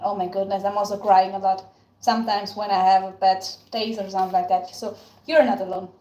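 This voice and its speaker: A woman's voice: mid-range voice, level tone, fairly quick, mellow, muffled recording. A young woman from Tyrol with an Austrian German accent, hosting a casual live stream while baking and chatting with viewers. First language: German (Tyrolean dialect). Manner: chatty, answers viewer comments, thanks them often. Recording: echoey room with background noise.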